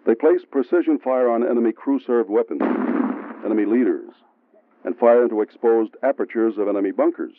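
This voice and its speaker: A man giving steady, measured scripted narration for a military training film. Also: mid-range voice, noisy background. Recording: tinny phone-like sound from an old training film, faint music.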